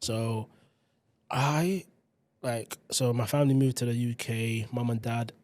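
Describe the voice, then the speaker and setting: A man in his thirties from South London with a London accent, a teacher telling his own school story as a podcast guest in quick, animated, slangy chat. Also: mid-range voice, no echo. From South London, England. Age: 30s.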